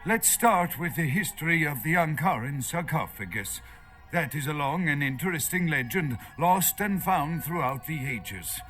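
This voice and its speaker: Nervous professor type for a game, highish bright voice, lectures and fumbles for words. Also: male voice, expressive, moderate pace, faint music behind. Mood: earnest, lecturing. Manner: lecturing, hesitant, searching for words. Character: nervous, erudite male foreign archaeologist held captive. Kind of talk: video game voice acting.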